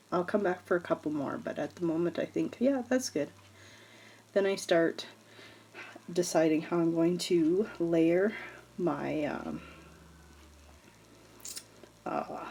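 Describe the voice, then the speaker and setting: A woman's voice: lowish voice, vocal fry, darkish tone. A woman with a congested, nasal voice chatting casually through a scrapbooking tutorial, rambling with fillers and small laughs. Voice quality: stuffed-up, nasal. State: congested from allergies. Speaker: scrapbooking vlogger. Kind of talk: casual craft tutorial chat.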